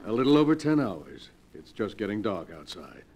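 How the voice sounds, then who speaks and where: A man's voice: on the low side, big pitch swings, normal speed, darkish tone. An actor playing a soothing middle-aged father in 1940s radio drama style, speaking in a paternal way. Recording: faintly hissy 1940s radio recording.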